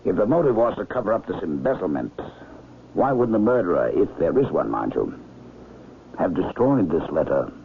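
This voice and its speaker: An actor playing an easygoing, wry doctor in a radio mystery, husky and ruminative, with folksy, teasing doubt. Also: male voice, low voice, big pitch swings, normal speed, slight background noise. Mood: musing, wry. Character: musing, wry, easygoing male local doctor helping an investigation. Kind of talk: 1970s radio mystery acting. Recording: tinny, phone-like vintage radio sound.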